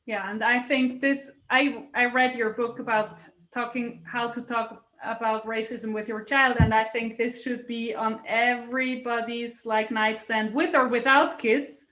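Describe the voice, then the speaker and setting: A woman hosts a casual podcast chat in English, which is not her first language, often stopping to search for a word. Her voice is high. The sound is roomy with echo. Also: fairly even intonation. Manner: warm, halting, searches for words. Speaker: podcast host.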